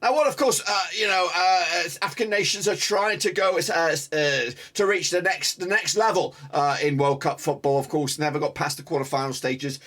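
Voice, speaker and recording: British male football host, high-pitched, punchy and excitable banter. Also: moderate pace, few pauses. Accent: British English. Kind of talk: energetic football fan interview. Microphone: phone or video call.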